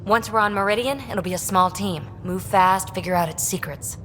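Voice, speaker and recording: An actress playing a capable military tech specialist in a video game, clipped and businesslike. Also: female voice, medium pitch, moderate pace, fairly bright tone, faint music behind, close to an everyday voice. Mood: focused. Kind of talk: video game cinematic acting.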